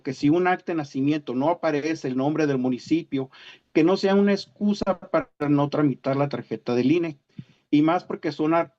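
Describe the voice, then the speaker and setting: Male citizen speaker, northern Mexican accent, slightly high voice, formal read statement, muffled video-call sound. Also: darkish tone. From Sonora, Mexico.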